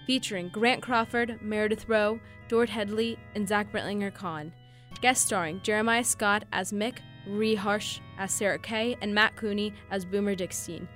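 A woman's voice: mid-range voice, steady tone, normal speed, fairly bright tone. A woman reading scripted radio drama credits as an announcer. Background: guitar music.

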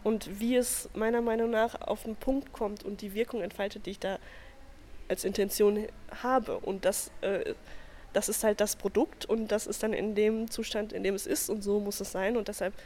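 A woman's voice: medium pitch, level tone, normal speed, a little mellow. A young woman artist answering interview questions in a casual, thoughtful way, sometimes trailing off. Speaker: artist, art school graduate. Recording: faint background noise.

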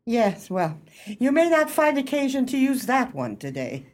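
An actress in a radio play as a genteel, eager club hostess, speaking in formal, gracious, high-flown phrases. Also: female voice, very animated, a little slow, a little mellow. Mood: polite, fussing.

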